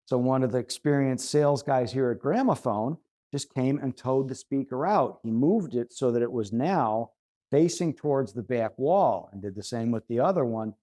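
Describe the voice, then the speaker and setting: A man's voice: mid-range voice, lively intonation, normal speed, dark tone. A man hosting a conversational tips segment, explaining things step by step in an articulate way. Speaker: host and audio reviewer.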